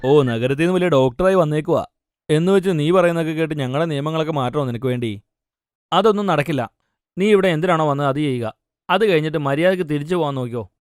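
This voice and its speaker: A man acts out a stern, old-fashioned father in a cartoon story. He scolds in a domineering, angry way, talking quickly in a slightly high male voice. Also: clear, resonant tone, no echo, clean studio sound, close to an everyday voice. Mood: angry, dismissive. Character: stern, angry traditional father of a village household. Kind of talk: dramatised cartoon story dialogue.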